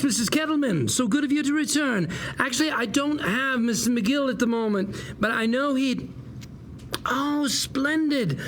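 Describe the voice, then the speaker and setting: High, prim British receptionist. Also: male voice. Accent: British, put on. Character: lawyer posing as prim, courteous British receptionist. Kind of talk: script table read. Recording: faint room noise.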